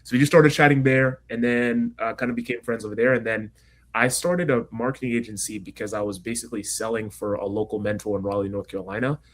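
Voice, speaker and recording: A young man from Kenya with an American accent, telling his story quickly and energetically as a podcast guest. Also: medium pitch. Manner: fast, energetic storytelling with frequent fillers. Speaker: guest entrepreneur. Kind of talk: casual interview chat.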